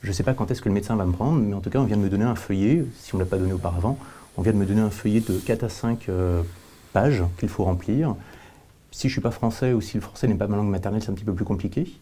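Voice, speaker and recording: Man, interview guest, quick pace, very dark soft timbre, halting repetitions, explanatory answers. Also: on the low side, breathy edge. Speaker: company representative, panel guest.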